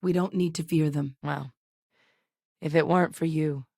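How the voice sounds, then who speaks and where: A woman playing a seasoned ex-military scientist in a video game, steady and earnest, with a measured, serious delivery. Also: deep voice, gravelly, no echo, clean studio sound. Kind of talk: video game character voice lines.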